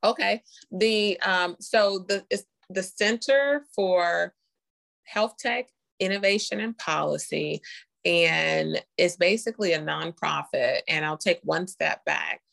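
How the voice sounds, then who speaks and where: A woman's voice: fairly low pitch, slow, vocal fry, a bit bright. A woman from North Carolina, born in Costa Rica, explaining her work as an interview guest and often checking in with "right?". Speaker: interview guest, nurse informaticist and health tech founder.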